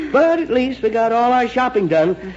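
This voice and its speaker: Flustered middle-aged father, American accent, high voice, blustery, emphatic, 1940s radio comedy, dull noisy recording. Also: big pitch swings, a little slow, darkish tone, exaggerated voice. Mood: weary.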